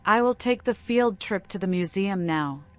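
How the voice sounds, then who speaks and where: A woman's voice: mid-range voice, lively intonation, normal speed, natural voice. A woman voicing an eccentric, whimsical mad female vampire who speaks cryptically and theatrically, as a video game protagonist. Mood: curious, cryptic. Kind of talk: fan-voiced game protagonist.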